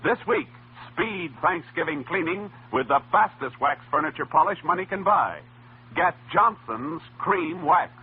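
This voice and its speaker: A man's voice: fairly high pitch, animated, unhurried, noisy background. An American man reading scripted advertising copy as a vintage radio announcer, in a persuasive sales delivery. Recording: muffled, tinny vintage radio recording.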